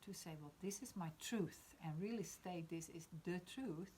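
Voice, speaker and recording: A woman in her fifties with a Dutch accent, reflecting in a spiritual conversation, often pausing to search for words. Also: fairly low pitch, warm tone, a little hiss or hum. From Netherlands. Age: 50s. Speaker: discussion participant.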